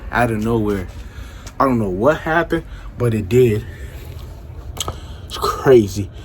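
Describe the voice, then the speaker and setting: A man's voice: lowish voice, lively intonation, slow, slight background noise. A young adult man telling a story casually, stuttering and repeating himself as he goes.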